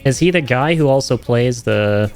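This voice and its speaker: A man's voice: mid-range voice, animated, normal speed, darkish tone, soft background music. Chatty man reacting to game footage. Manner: chatty, informal, enthusiastic fan talk with slang. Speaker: host of a gaming reaction channel. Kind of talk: casual reaction commentary over game footage.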